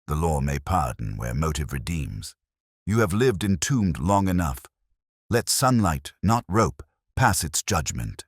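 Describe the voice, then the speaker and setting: A grave, commanding Victorian detective from an audio mystery , acted by a male reader. The voice is extremely low and closely recorded without room sound, with deliberate, terse delivery and calm precision. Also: slightly rough, dry recording, studio quality, heavily acted. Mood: solemn.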